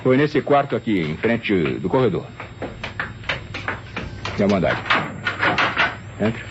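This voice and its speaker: Lively, courteous private secretary. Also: male voice, fairly low pitch, takes it slowly. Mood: courteous, explanatory. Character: courteous, talkative male secretary of a doctor. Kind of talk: old radio drama acting. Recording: dull, hissy old radio recording.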